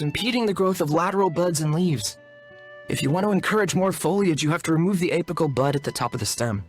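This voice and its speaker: An actor playing an earnest, courteous and wordy young shaman apprentice in a video game, speaking in a formal, polite manner. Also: high voice. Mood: earnest, proud. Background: music.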